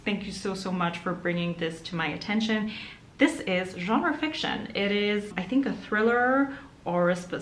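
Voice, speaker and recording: A woman's voice: fairly low pitch, fairly bright tone, roomy sound, slight background noise. A young adult woman whose first language is German, chatting upbeat in a reading vlog with light laughs. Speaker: book vlogger.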